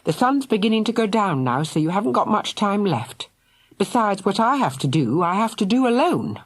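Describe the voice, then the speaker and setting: Woman as a high-pitched, devoted storybook sister, pleading, breathy. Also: sing-song, normal speed. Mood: determined. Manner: pleading, sobbing. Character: cheerful devoted big sister, tearful and pleading.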